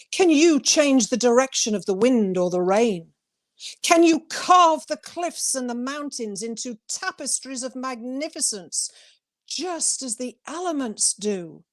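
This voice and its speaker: A woman poet presenting and reading her own work as a guest, with deliberate, emphatic phrasing. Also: on the high side. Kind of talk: poetry reading with brief informal introduction.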